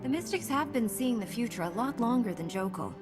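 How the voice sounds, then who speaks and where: A woman's voice: a little high, animated, brisk, natural voice. A woman playing a resolute young warrior hero in a video game, speaking in an earnest, direct, composed way. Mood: questioning. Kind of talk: video game cutscene acting. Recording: music under the voice.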